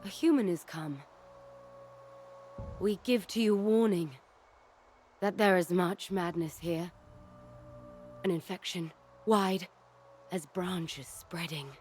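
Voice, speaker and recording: A woman voicing a gentle, ancient tree-spirit healer in a video game, solemn and patient, speaking in halting, deliberate, oddly formal phrases. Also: on the low side, a little hiss or hum. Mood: grave warning. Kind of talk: video game cutscene acting.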